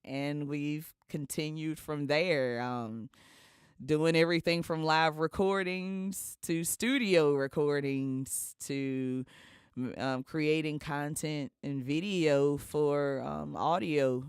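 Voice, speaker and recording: A man co-hosts a podcast in a clean, smooth voice. He takes his time over casual stories, chuckling here and there. The sound is close and dry. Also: a little high, fairly even intonation, slow pace, no echo. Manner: often chuckles while talking. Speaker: podcast co-host, audio engineer. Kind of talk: casual chat, storytelling.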